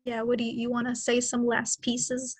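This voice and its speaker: A woman's voice: a little high, monotone, moderate pace, faint music behind. A woman in her twenties co-hosting a podcast, talking in a reflective, rambling way with many fillers. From Mexican American. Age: 20s. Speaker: podcast co-host. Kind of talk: casual conversation.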